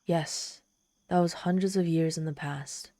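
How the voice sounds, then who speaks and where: Ancient demon woman, played by a woman for ASMR audio drama: lowish, velvety dark voice, proud and theatrical with a vulnerable streak. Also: fairly even intonation, measured pace, no echo, close to an everyday voice. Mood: proud, conceding. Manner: haughty, theatrical, softening into shy vulnerability. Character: ancient proud tsundere demon woman, lonely, haughty, teasing. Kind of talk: ASMR audio roleplay, addressing the listener.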